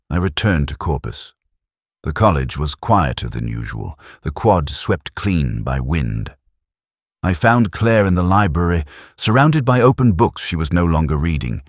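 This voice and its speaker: Very deep, husky male narrator. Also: dry recording, clean studio sound. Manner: measured, solemn, literary. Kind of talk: first-person scripted detective story narration.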